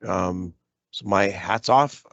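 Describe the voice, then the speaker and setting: A man, a school trustee, losing his voice and clearing his throat, asking polite formal questions in a meeting. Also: deep voice, takes it slowly, a bit bright, dry recording. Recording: dull lo-fi meeting audio.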